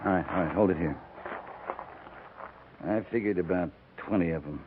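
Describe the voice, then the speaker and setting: An American actor playing a steady, commanding frontier cavalry captain in a 1950s radio drama, speaking tersely and firmly. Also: male voice, low voice, moderate pace, natural voice. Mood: firm, sober. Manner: terse, firm, laconic. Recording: tinny, band-limited, noisy 1950s radio recording.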